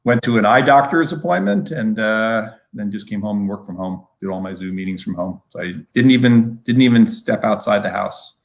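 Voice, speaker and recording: A middle-aged man, a physician-scientist guest, telling personal stories casually in a rambling, halting way with repeated words. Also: on the low side, gravelly. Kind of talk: casual personal storytelling in conversation.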